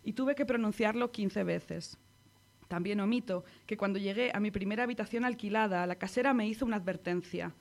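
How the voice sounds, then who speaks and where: Spanish woman reading a poem. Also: medium pitch. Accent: Spanish from Spain. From Spain. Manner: measured, intimate recitation. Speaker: poet reading her work. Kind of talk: poetry reading.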